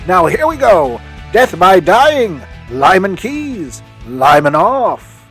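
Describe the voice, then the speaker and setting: A chatty podcast pitchman acted by a man rambles through a promotional recommendation in a fairly high male voice. He is excitable and playfully emphatic, interrupting himself and swinging widely in pitch, with light music underneath. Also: slow, husky, exaggerated voice. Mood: chirpy, rambling. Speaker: podcast host giving a recommendation. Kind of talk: promotional monologue.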